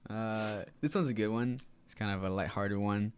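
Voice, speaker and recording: Young man, native Spanish speaker, low-pitched, close dry sound, casual slangy podcast co-host. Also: moderate pace, clear, resonant tone, no room sound. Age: young adult. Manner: informal, slangy. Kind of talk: casual chat.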